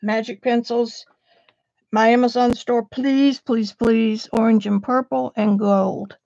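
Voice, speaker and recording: A woman's voice: a little high, fairly even intonation, slow pace, creaky, warm tone, dry recording, highs cut off. A woman, chatting casually on a live stream as an art teacher, a bit sniffly, describing her supplies to viewers.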